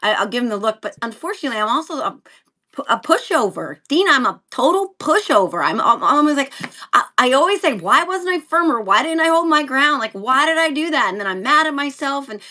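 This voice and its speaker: Bright-voiced American woman, emphatic talker. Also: high-pitched, sing-song, a little fast. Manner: emphatic, repeats phrases for emphasis. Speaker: talk show guest. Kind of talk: candid political interview chat.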